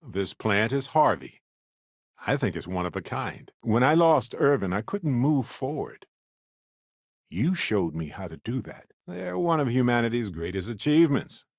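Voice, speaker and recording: A man's voice: medium pitch, very animated, a little slow, dry recording, clean studio sound. A man voicing a wry, good-hearted space explorer companion in a video game, conversational and earnest. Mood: earnest, tender.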